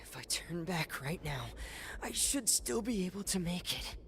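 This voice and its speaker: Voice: medium pitch, very animated, a little slow, fairly bright tone, slight background noise. An actor voicing an earnest, determined young traveling companion in a fantasy game, musing aloud. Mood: strained, desperate. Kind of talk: scripted game voice acting.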